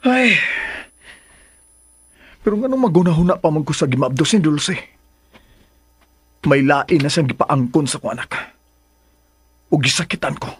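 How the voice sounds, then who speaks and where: A man's voice: a little high, expressive, normal speed, long pauses, breathy edge. A man playing a troubled, brooding lover in a radio drama. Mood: brooding, resentful. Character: troubled man torn between bride and former lover.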